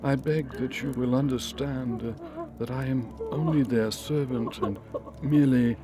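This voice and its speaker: Hesitant messenger, slow and expressive. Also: male voice, medium pitch. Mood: formal, pained. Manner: hesitant, formal, deferential. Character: uneasy, apologetic company messenger bearing bad news. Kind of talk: audio drama acting.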